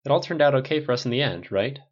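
A man voicing a dry, gently teasing male military friend. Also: medium pitch, lively intonation, quick pace, dull audio, pitched above the performer's own voice, natural voice.

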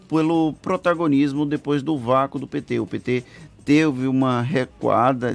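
Jornalista de rádio, homem de voz rouca e arranhada, perguntas formais e analíticas. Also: male voice, medium pitch, creaky. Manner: formal, analytical questioning. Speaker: radio journalist interviewer. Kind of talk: radio interview questions.

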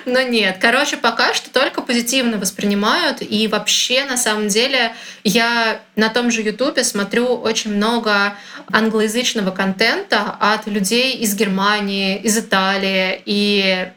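A young woman from Russia chatting casually as a guest, lively and informal with plenty of slang. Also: medium pitch, unhurried. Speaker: guest, content creator. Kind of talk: casual conversation.

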